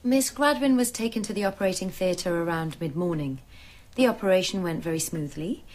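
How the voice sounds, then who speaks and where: An actress playing a professional nursing sister in a radio drama, speaking in a composed, clinical and precise manner. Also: female voice, lowish voice, a little fast, darkish tone, natural voice.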